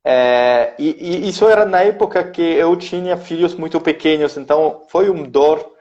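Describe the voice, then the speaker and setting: A man in his thirties from France speaking Portuguese with a French accent, slow and halting as he searches for words. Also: on the high side, a little mellow, lo-fi sound. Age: 30s. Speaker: interview guest, company executive.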